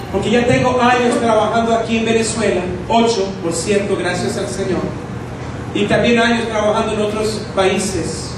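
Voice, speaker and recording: High-voiced male preacher in an echoey hall. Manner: teaching with rhetorical questions. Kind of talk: sermon to a congregation. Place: church hall with congregation. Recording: echoey hall, distant mic, noisy.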